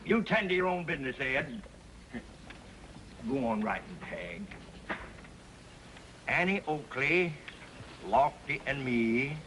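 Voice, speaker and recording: An actor playing a boastful, excitable old prospector grandfather with a folksy American drawl in a 1950s television western. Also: a little high, slight background noise, full character voice, poor audio. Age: older. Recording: old TV soundtrack with background music.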